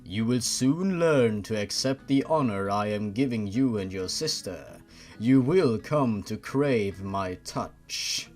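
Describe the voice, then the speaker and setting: A man voicing a smug, cruel, gentlemanly demon captor in a horror fan fiction, with a slow, condescending, taunting manner. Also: fairly low pitch, lively intonation, soft background music, voice pushed down. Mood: smug, menacing. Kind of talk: creepypasta fan fiction character reading.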